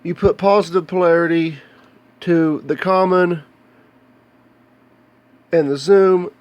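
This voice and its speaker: An American man with a slightly higher-than-average voice gives an unscripted show-and-tell about electronics. He explains things plainly and casually, often halting as he works through the details. He is recorded indoors in a home workshop on a handheld camera. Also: fairly even intonation, dry recording, dull audio. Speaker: hobbyist video maker showing electronics.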